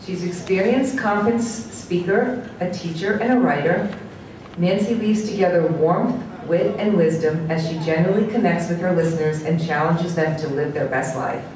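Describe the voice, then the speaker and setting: Woman reading an introduction in a booming hall. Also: normal speed, darkish tone, slight background noise. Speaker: event organizer introducing the speaker. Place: large conference hall. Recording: very echoey hall, voice from a distance.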